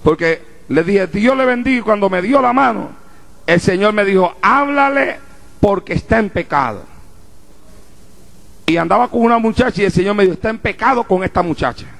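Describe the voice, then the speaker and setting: A man preaching a fervent sermon to a congregation, rising into shouts and calling out rhetorical questions. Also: high-pitched, expressive, fairly quick, creaky. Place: church before a congregation.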